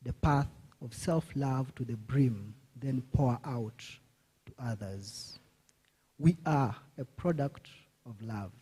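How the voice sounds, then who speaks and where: A man poet reading his short poem aloud at a conference, in a slow, measured recitation. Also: mid-range voice, warm tone, slightly rough, rough recording. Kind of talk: poetry reading. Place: conference hall.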